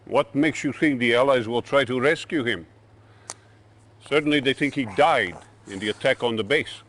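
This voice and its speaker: An actor playing a ruthless, impatient Soviet dictator in a video game cutscene, commanding and contemptuous. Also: male voice, medium pitch, normal speed, a bit bright, slight background noise, lightly acted. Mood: stern, commanding.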